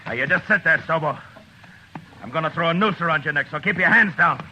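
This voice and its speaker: An actor playing a stern, weary Old West marshal in a 1950s radio Western, speaking tersely and with command. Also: male voice, a little high, expressive, a little fast, lo-fi sound, close to an everyday voice. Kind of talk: 1950s Western radio drama acting and narration. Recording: noisy old radio recording.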